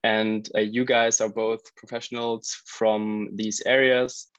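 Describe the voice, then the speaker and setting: A man with a fairly low voice hosts a podcast conversation. He interviews guests in a conversational way. The recording is dry and a little muffled. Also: level tone, slow pace, clean tone, dry recording. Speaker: podcast host.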